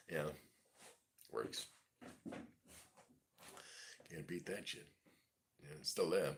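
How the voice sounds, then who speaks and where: Brooklyn NYC guy in his 40s, very deep gravelly voice, rambling profane streamer chat. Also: fairly bright tone. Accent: New York City. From East New York, Brooklyn. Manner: rambling, profane, full of "you know". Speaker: livestream host. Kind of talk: casual rambling monologue. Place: home in Mississippi.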